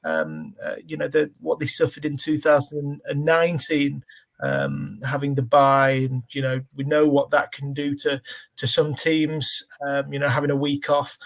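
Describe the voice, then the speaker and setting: A man giving casual sports analysis as a podcast panelist, halting and often repeating his words as he thinks. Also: a little high, expressive, vocal fry, fairly bright tone.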